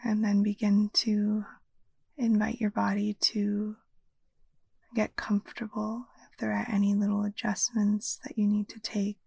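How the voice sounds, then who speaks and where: Slow, breathy woman guiding meditation. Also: mid-range voice, completely flat delivery, dry recording. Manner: soft, breathy near-whisper, soothing. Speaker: yoga nidra meditation teacher. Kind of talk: guided meditation narration.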